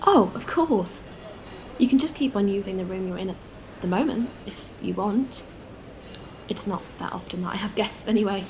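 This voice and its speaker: A woman's voice: mid-range voice, moderate pace, darkish tone, a little hiss or hum, natural voice, poor audio. Woman playing friendly, chatty spaceship captain. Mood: friendly, hopeful. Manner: casual, chatty. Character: friendly, eager alien spaceship captain, curious about humans. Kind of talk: science-fiction audio drama acting. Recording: faint background ambience. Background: faint ambience.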